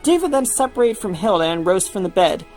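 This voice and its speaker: A woman's voice: mid-range voice, sing-song, brisk, darkish tone, faint music behind. A woman reading a scripted fan fiction story aloud as its narrator.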